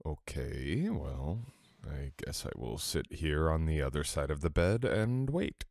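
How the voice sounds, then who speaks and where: Man acting as very deep-voiced teasing boyfriend. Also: sing-song, gravelly, no echo, clean studio sound, full character voice. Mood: tender coaxing. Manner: soft teasing, coaxing, unhurried. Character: sweet, teasing, doting boyfriend. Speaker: audio roleplay performer. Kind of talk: intimate ASMR boyfriend roleplay.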